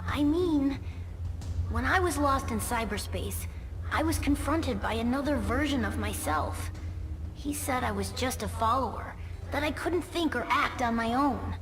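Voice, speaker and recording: A woman's voice: high voice, animated, measured pace. A woman voicing an earnest, brainy young boy fox sidekick in a video game cutscene, precise and sincere. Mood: self-doubting.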